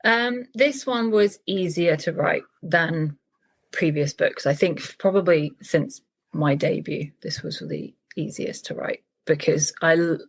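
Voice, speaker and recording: A woman novelist talks through her writing process in an easygoing interview. Her voice sits low and carries a steady gravelly texture. She thinks aloud and often restarts phrases midway. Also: unhurried. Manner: thoughtful, with frequent restarts. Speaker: novelist guest. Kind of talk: casual interview chat.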